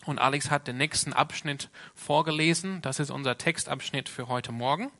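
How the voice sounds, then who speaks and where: A man's voice: mid-range voice, normal speed, sharp tone. A man from Australia with an Australian English accent, a native English speaker, preaching an informal sermon in a plain-spoken, earnest way. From Sydney, Australia.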